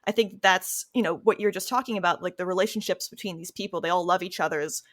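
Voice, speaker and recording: A woman, an actress and writer, answering interview questions casually in a reflective, halting way. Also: lowish voice. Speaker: actress and writer on an audio drama.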